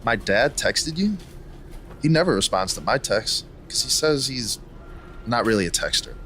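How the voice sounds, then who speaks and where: A man's voice: mid-range voice, animated, moderate pace, pushed and bright, a little hiss or hum. A man playing a chatty, cocky rival wrestler in a video game cutscene, breezy and falsely friendly. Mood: breezy, smug. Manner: breezy, casual.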